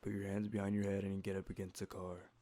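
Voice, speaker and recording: A man playing a predatory, bullying police officer in a fan dub, speaking deliberately with menacing condescension. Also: low voice, completely flat delivery, mellow, no echo, natural voice. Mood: cold, intimidating. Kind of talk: fan-dub dramatic acting.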